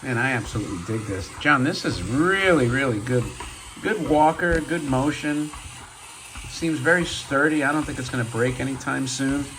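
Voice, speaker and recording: A man with a hoarse voice, deepened by a cold, hosts a relaxed show-and-tell video. He rambles along in an easygoing way, full of fillers and side remarks. A faint hum of noise sits under the recording. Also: moderate pace, poor audio. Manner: chatty and rambling, many fillers and asides. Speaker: toy robot collector and channel host. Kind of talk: casual show-and-tell vlog.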